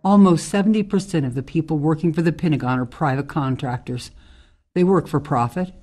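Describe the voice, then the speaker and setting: A woman playing a tough, outspoken ex-military forest ranger in a radio drama, speaking in a measured, emphatic way. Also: low-pitched, mellow. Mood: grave, critical.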